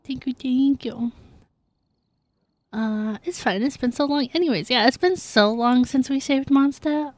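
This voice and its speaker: A woman's voice: high-pitched, measured pace. A woman livestreaming a game in a casual, playful, giggly way, chatting and reacting to the dialogue. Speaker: game streamer.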